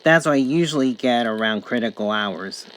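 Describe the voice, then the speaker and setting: A man's voice: medium pitch, lively intonation, normal speed. A man giving a casual, matter-of-fact review, calling out radio station names and towns piece by piece. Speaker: radio reviewer, channel host. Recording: home recording with faint background noise.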